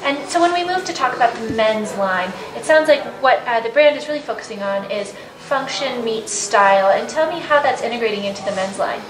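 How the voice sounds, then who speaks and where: Bubbly young woman interviewer, slightly high. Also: expressive, brisk, hardly any pauses, noisy background. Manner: bubbly and enthusiastic. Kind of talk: trade show interview. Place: large carpeted hotel hall at a trade show. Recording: echoey hall with crowd chatter. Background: crowd chatter.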